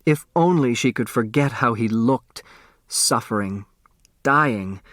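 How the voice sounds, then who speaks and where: A man's voice: medium pitch, slow pace, a bit bright, no room sound, studio quality. A man reading a children's mystery novel as scripted narration. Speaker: audiobook narrator.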